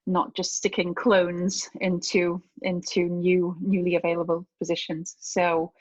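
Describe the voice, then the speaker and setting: Female podcast host, low-ish husky voice, affirming and light, dull dry audio. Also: completely flat delivery, unhurried, no echo. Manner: warm affirming replies, gentle joking. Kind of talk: interview hosting.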